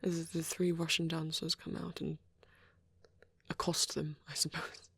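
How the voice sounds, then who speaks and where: A man voicing a shy, soft-spoken vampire boy in an ASMR roleplay, hesitant and breathy, often slipping into a whisper. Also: fairly high pitch, level tone, dry recording, heavily acted. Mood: soft, musing. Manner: hesitant, halting, gently spoken. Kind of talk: ASMR, soft rambling and reading aloud.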